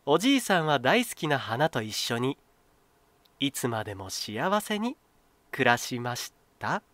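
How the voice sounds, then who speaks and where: A man reading a children's folktale as a storyteller, in an expressive, clearly phrased scripted style. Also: mid-range voice, unhurried, dry recording.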